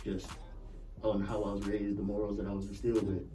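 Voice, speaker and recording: A man on a podcast panel, talking in a relaxed, thoughtful way and repeating words as he works through a point. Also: on the low side, brisk, recorded from a distance. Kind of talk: casual panel discussion.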